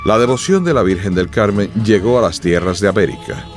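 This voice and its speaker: A man giving solemn, measured, dramatic scripted narration for a radio drama. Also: lowish voice, expressive, music in the background.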